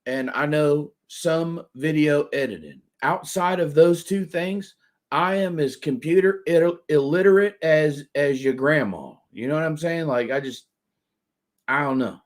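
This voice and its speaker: A man's voice: fairly high pitch, measured pace. Rambling man hosting a stream. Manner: rambling, trailing off, mocking. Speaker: stream host and commentator. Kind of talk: casual commentary stream.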